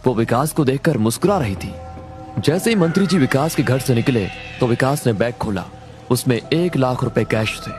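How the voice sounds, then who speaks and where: Male horror story narrator over loud music. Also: medium pitch, lively intonation, normal speed. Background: loud background music.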